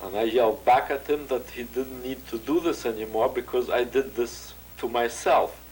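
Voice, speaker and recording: An older man giving a measured, recalled testimony as an interviewee, with frequent pauses and filler sounds. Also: mid-range voice, a little mellow, slight background noise, rough recording.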